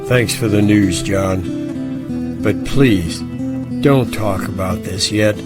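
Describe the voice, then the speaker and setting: A man playing a calm, cautious camp leader in an audio drama, measured and authoritative. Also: lowish voice, loud music behind the voice. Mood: calm, commanding.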